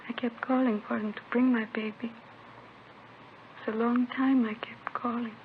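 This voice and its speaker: An actress playing a distraught, grieving young mother making a halting confession in a 1950s radio drama. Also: a little high, flat delivery, lightly acted. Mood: grieving, haunted. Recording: tinny, noisy vintage radio recording.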